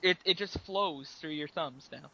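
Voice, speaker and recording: Bright youthful-sounding podcast man. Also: a little high, a little slow, vocal fry. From Canada. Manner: youthful-sounding, upbeat and chatty. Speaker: podcast co-host. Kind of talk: casual gaming chat. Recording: dull lo-fi podcast audio.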